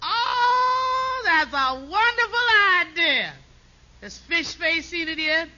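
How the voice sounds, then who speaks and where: A woman playing a loud, gushing older mother-in-law in 1950s radio comedy, folksy and exclamatory. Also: extremely high pitch, sing-song, exaggerated voice. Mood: delighted. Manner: folksy, exclamatory, drawn-out delivery. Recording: very noisy, dull 1950s radio recording.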